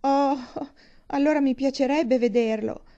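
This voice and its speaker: An eager, wide-eyed young woman from an audiobook novel, voiced by a female narrator. She is hesitant and full of questions, with a mellow tone that rises high. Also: expressive, moderate pace, lo-fi sound. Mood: reluctant. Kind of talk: audiobook character dialogue.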